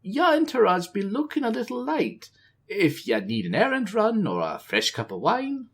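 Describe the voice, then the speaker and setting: A man voicing a sly, flattering young male troll with a put-on troll lilt in a storybook reading. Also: high-pitched, normal speed, creaky, slightly rough, voice pushed up, full character voice. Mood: eager.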